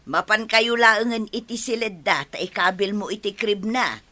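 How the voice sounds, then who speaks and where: A woman's voice: medium pitch, normal speed, bright, forceful tone. A woman playing a bustling grandmother in a radio drama, chiding and hurrying her husband along. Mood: fussing, fond. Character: bustling, nagging grandmother and wife.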